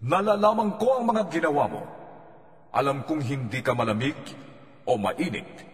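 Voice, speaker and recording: A Filipino man playing a solemn, commanding divine lord in a scripture audio drama, speaking in a measured, declamatory way. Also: on the high side, lively intonation, close to an everyday voice. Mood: stern rebuke. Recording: echoey long reverb under loud music.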